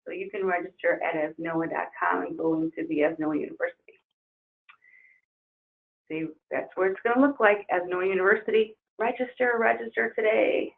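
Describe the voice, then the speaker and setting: A woman trainer losing her voice, leading an upbeat software webinar walkthrough. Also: fairly low pitch, steady tone, moderate pace, creaky. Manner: upbeat, enthusiastic promoting. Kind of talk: webinar screen-share walkthrough. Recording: tinny phone-like webinar audio.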